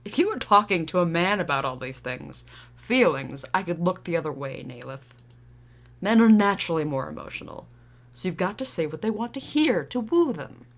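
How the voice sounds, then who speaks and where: A woman's voice: on the low side, very animated, normal speed. Woman playing a stern, domineering warrior mother. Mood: scornful, outraged. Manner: commanding, scornful.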